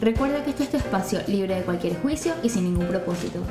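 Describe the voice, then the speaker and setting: Animated young Venezuelan woman, roomy sound. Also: mid-range voice, fast, over music. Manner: animated, emphatic. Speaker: podcast co-host. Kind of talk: casual chat. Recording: echoey room with faint noise.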